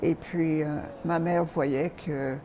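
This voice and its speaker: An older woman from Calgary, Canada, looks back on her life in a soft, mellow voice. She speaks hesitantly, pausing often and filling gaps as she recalls details. There is a faint hiss behind her voice. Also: deep voice, steady tone. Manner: soft-spoken, hesitant with frequent pauses. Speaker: interview guest. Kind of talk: reminiscing in an interview.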